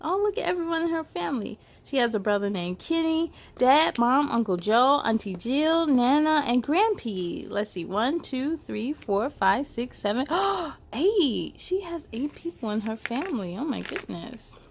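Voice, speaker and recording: A woman reading a picture book aloud to children in an animated, playful way, adding chatty little asides. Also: high voice, unhurried, creaky, hardly any pauses. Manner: playful, giggly, animated storytelling delivery.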